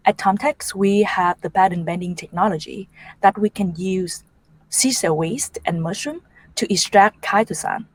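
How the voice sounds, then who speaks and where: A lower-pitched woman from Vietnam, a native Vietnamese speaker, pitches her startup in earnest English, with frequent ums and loose non-native grammar. The sound is dry and close. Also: no echo, poor audio. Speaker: startup CEO presenting.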